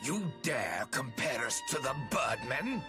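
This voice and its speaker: A man's voice: medium pitch, sing-song, a little slow. A man playing a scornful middle-aged emperor in a fantasy game, speaking in formal, haughty, archaic oratory. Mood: contemptuous. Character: imperious, scornful middle-aged male emperor of a militarist empire. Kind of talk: scripted fantasy game cutscene acting. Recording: loud orchestral music behind.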